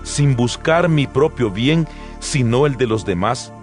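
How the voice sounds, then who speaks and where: A man acting an earnest, expressive apostle over music. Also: medium pitch, unhurried, natural voice. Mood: earnest exhorting. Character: apostle writing earnest letter of exhortation to believers. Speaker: Bible audio narrator. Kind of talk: dramatized scripture reading. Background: background music.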